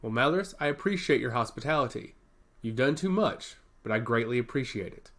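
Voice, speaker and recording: A man's voice: medium pitch, animated, a little fast, a bit bright, close to an everyday voice. A man voicing a polite, self-assured young princess in a fantasy audio story, speaking formally. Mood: poised, hopeful.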